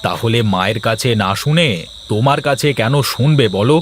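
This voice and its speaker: A man's voice: mid-range voice, lively intonation, fairly quick, a little hiss or hum, lightly acted. A man voicing a reasonable village husband, loyal to his mother, in a Bengali cartoon story. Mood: coaxing.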